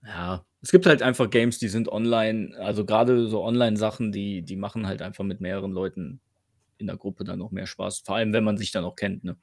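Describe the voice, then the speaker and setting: Colloquial man, lowish voice, dry sound. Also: fairly even intonation, fairly quick, a little mellow, dry recording. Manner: colloquial, seeks agreement with tag questions. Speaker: gaming podcast co-host. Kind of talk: casual chat about video games.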